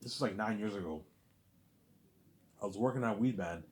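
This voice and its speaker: A man's voice: lowish voice, normal speed. A man talking to camera in a casual, rambling monologue, blunt and conversational, who keeps repeating and restarting his words. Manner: repetitive, restarts words often; blunt, conversational, asks "you know what I'm saying". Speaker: vlogger.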